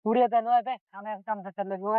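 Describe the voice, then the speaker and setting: A woman's voice: medium pitch, steady tone, very fast, no echo. Questioning woman over a tinny phone. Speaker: caller. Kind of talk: casual phone chat in a local dialect. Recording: dry tinny phone line.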